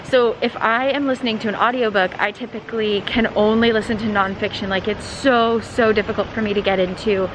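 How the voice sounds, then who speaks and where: A young woman talks casually into a handheld camera outdoors on a city street. Her delivery is informal and animated, with an average pitch and wandering asides. Wind and traffic noise run clearly under her voice. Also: brisk, few pauses. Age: young adult. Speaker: vlogger and host. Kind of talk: casual chatty vlog talk to camera. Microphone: handheld camera at arm's length.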